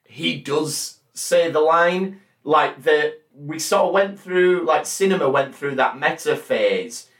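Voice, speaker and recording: A man's voice: on the high side, lively intonation, slow pace, hardly any pauses. A man hosting a casual podcast about TV and film, chatty, sweary and acting out quoted lines. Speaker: podcast host.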